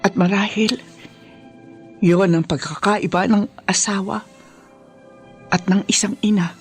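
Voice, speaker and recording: An actress playing a stern, devoted older mother with a Filipino accent in a Tagalog radio drama, emphatic and deliberate. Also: mid-range voice, steady tone, soft background music, close to an everyday voice. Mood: grave, resolute.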